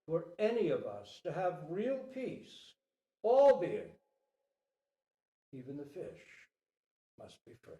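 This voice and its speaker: A man's voice: a little high, wildly swooping pitch, slow pace, long pauses, warm tone, highs cut off, full character voice. A man voicing a majestic human king, proud but merciful, in a formal, declamatory storybook reading. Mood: proclaiming. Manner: grand, declamatory, formal decrees. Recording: roomy echo, distant microphone.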